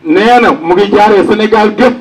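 A man's voice: high voice, moderate pace, far from the mic, low-quality recording. A Senegalese man announcing condolences to mourners, relaying a message at an outdoor gathering.

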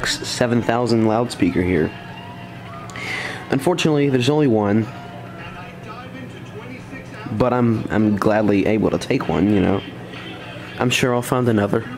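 A man's voice: lowish voice, unhurried, long pauses, a little mellow, faint music behind, low-quality recording. A man giving a casual, offhand demonstration as a hobbyist, speaking informally into a handheld camera in a room at home. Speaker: audio hobbyist presenter. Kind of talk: casual handheld demo commentary. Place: room at home with wooden floor.

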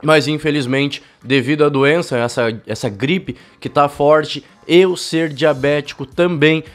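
A young man explains things fast and chattily, and his cold shows in his voice. His voice sits a little high. He speaks close to a desk mic in a small home studio, with soft music playing underneath. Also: steady tone. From Brazil. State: has a bad cold. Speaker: cycling channel host. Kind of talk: explainer video to camera. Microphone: fluffy-windscreen desk mic, close.

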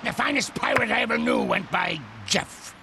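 A man voicing a blustering, bossy ghostly pirate captain in a gravelly, theatrical shout for a cartoon video game. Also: extremely high pitch, moderate pace, music in the background, exaggerated voice. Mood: gruff.

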